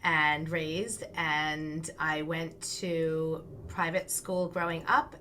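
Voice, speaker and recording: Bright-voiced Long Island woman. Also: on the low side, slight background noise. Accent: Long Island New York. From Long Island, New York. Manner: chatty and laughing, storytelling. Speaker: guest, business coach. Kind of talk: casual interview chat.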